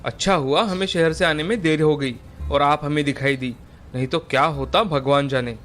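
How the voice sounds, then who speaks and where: An actor playing a poor, hardworking village laborer who is a kind father, speaking in a gentle, earnest way in an animated moral story. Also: fairly high pitch, moderate pace, natural voice. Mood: concerned.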